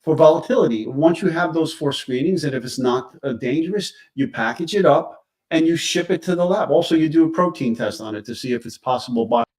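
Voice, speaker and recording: A man's voice: a little high, fairly quick, hardly any pauses, darkish tone, recorded from a distance. A man giving casual explanatory commentary, methodically listing his points. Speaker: live stream panelist.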